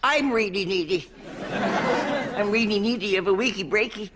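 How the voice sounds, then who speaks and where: A man's voice: high-pitched, normal speed, natural voice. A man playing a foul-mouthed, scheming older Irish mammy in a sitcom, with a brash, put-upon manner and an Irish accent. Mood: pleading, scheming. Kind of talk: broad sitcom acting in drag. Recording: noisy studio sitcom sound.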